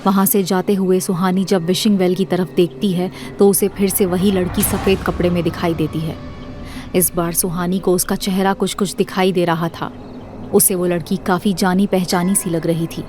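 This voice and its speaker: A woman's voice: lowish voice, flat delivery, normal speed, background noise. A woman reading scripted narration for an audio drama series.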